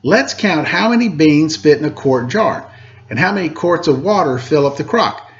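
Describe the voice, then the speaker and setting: A man's voice: on the high side, expressive, moderate pace, muffled recording, natural voice. A man voicing an eager, earnest schoolboy in a children's storybook. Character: eager schoolboy who is good at math.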